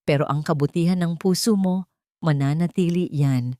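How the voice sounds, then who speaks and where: A woman voicing a weary, wise old man in an audio story, speaking gently and with meaning. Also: deep voice, normal speed, no room sound, studio quality, natural voice. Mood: gentle, knowing. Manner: hushed, meaningful.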